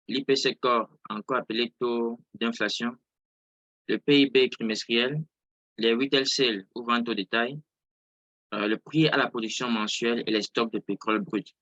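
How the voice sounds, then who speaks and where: A man with a bright, forceful voice teaches informally in a live online session. He is a non-native French speaker and often restates his words for emphasis. The sound is close and dry. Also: mid-range voice, steady tone, moderate pace, dry recording, muffled recording. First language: not French. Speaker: trading instructor. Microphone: close mic on an online video call.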